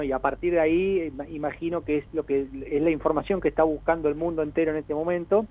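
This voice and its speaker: Higher-voiced Argentine man on a phone line. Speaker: radio interview guest, international affairs analyst. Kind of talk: spontaneous explanatory commentary. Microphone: telephone call. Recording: narrow tinny phone line.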